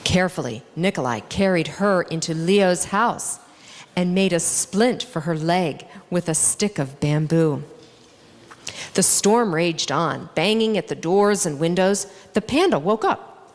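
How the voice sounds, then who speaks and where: A woman with a lowish voice reads a children's story to a gathering of all ages. Her delivery is slow and highly animated as she dramatizes the characters. Her voice rings through a large hall with long reverb. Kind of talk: expressive read-aloud children's story narration.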